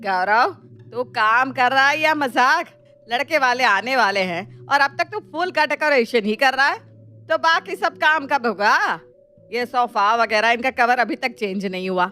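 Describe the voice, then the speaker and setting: A woman playing a naive, old-fashioned middle-aged mother-in-law who fusses and scolds in an animated family story. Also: fairly high pitch, measured pace, faint music behind. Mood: scolding. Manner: scolding, fretful, bossy. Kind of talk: animated Hindi moral story acting.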